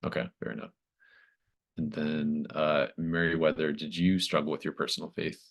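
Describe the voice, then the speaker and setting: Very deep-voiced man running a game. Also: completely flat delivery, moderate pace, vocal fry, muffled recording. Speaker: game master of a tabletop roleplaying session. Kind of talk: casual wrap-up talk with players.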